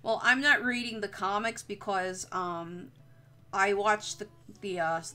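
Bright-voiced young woman chatting on stream. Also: mid-range voice, fairly even intonation, unhurried. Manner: rambling, sweary, jokey. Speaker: streamer, artist. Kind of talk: casual livestream chat while drawing.

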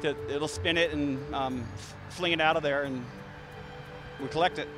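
Brief: thirties male beekeeper, slightly high voice, easygoing and halting, handheld mic outside, soft music underneath. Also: low-quality recording. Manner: relaxed, frequent restarts and fillers. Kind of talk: casual explanation to an interviewer. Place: outdoors by beehives in a garden. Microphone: handheld interview mic.

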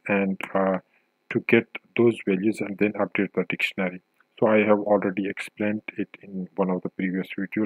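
Low-voiced man narrating a coding tutorial. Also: darkish tone, no echo, poor audio. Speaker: software tutorial instructor.

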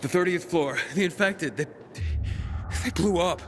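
An actor playing an earnest young survivor in a video game, urgent and a little hesitant. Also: male voice, high voice, measured pace, heavy background noise. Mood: alarmed, strained. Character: earnest, strained young male survivor hero. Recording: loud music over voice.

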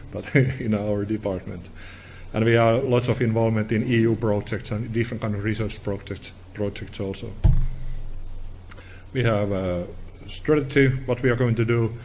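A middle-aged Finnish man with a Finnish accent giving a conference presentation in halting, informal English at a podium. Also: on the low side, a little mellow, a little hiss or hum. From Finland. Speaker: technology director presenting at a conference. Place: indoor conference hall.

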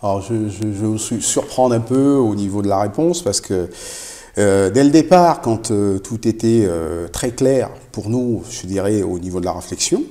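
A man's voice: on the low side, normal speed, mellow. A middle-aged man answering interview questions as a company boss, emphatically repeating key phrases. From Africa. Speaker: company CEO, interview guest. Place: indoor showroom with product shelves.

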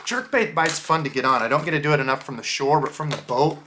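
A man, hosting a casual fishing lure unboxing from a small indoor room and explaining each bait in a relaxed, chatty way. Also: fairly high pitch, fast talker, noisy background. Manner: relaxed, chatty, explains gear step by step with fillers. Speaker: fishing channel host.

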